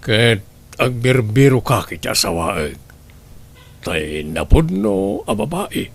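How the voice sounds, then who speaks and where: A man's voice: mid-range voice, big pitch swings, slow, gravelly, exaggerated voice. A man playing a rich suitor with a courtly, flirtatious way of talking in a comedy radio-style drama. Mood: courting.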